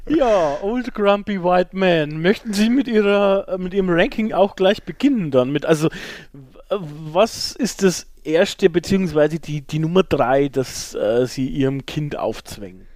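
A man's voice: high-pitched, animated, measured pace, few pauses, a little mellow, no echo. A middle-aged man chats casually as a podcast co-host. His intonation swings freely and he has a playful, joking way of talking. The sound is dry, with no room in it. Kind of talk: casual chat.

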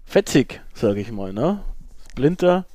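A man's voice: medium pitch, very animated, slow, warm tone, no echo. A middle-aged man, chatting casually and enthusiastically as a podcast co-host in colloquial language.

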